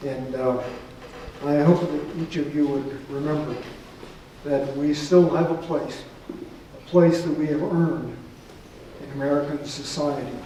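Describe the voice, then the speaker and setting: An older man giving a lecture and answering audience questions, speaking haltingly with many pauses and fillers. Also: on the high side, steady tone, mellow, slight background noise. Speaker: guest lecturer. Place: large lecture hall. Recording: echoey hall, voice from far off.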